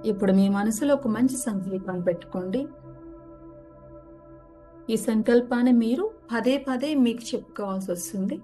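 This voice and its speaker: A woman gently guides a relaxation meditation, giving unhurried step-by-step instructions. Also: fairly high pitch. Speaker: yoga nidra guide. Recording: music behind the voice. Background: background music.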